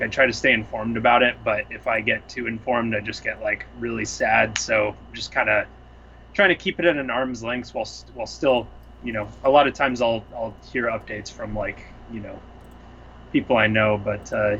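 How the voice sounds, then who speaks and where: Bright-voiced young man, rambling chat. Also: mid-range voice, muffled recording, a little hiss or hum. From Seattle area, US Pacific Northwest. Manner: rambling, thoughtful, full of fillers. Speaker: guest, music producer. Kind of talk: casual chat. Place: home, on a couch.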